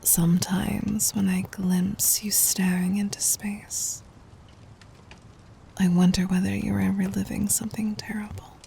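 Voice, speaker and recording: A woman's voice: medium pitch, little pitch movement, measured pace, a little hiss or hum. Whispering, adoring demon queen, acted by a woman. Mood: tender, concerned. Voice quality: soft, breathy whisper. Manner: tender, formal, regal phrasing. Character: adoring, gently dominant demon queen lover. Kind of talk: whispered ASMR romantic roleplay.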